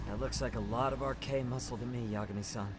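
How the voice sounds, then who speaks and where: Actor as an alert, loyal sidekick over noisy ambience. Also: male voice, medium pitch, fairly even intonation, quick pace, natural voice. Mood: alert, willing. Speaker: video game companion character. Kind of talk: English game dub acting. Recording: noisy game ambience behind voice.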